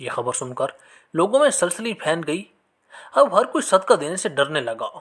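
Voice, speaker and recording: A man narrating scripted religious moral stories in an expressive, measured storytelling manner. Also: fairly high pitch, husky.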